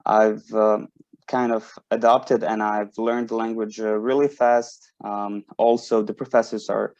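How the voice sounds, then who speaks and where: A man's voice: fairly low pitch, level tone, unhurried, a little mellow, no echo. A man in his twenties from Bosnia, a university student speaking informally to prospective students, hesitant, with many fillers and restarts. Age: 20s. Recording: dry, dull lo-fi sound.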